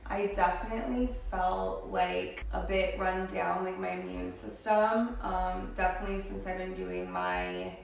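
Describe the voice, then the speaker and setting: A young woman vlogging from her home studio, a little hoarse from a head cold, chatting casually with lots of fillers. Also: medium pitch, animated, measured pace, hardly any pauses, recorded from a distance, slight background noise. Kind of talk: casual chat to camera. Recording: echoey room, voice off the mic.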